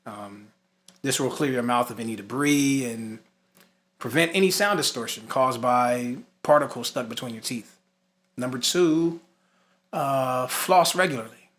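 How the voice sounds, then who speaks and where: A man's voice: medium pitch, unhurried, fairly bright tone. A man hosting an informal tutorial, part scripted and part conversational, stressing and repeating his key points. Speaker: instructor and host of a talk box tutorial.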